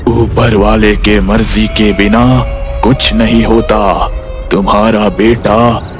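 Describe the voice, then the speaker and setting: A man playing a grave Aghori mystic who makes ominous, deliberate pronouncements of doom in an animated storybook tale. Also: on the low side, music in the background. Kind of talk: Hindi animated storybook acting.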